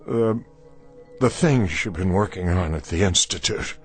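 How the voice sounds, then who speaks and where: An older, lovesick man in a radio drama, proud yet desperate. His voice sits fairly low, and his speech is formal, earnest and theatrical. Music plays faintly underneath. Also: expressive, measured pace. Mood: desperate, insistent.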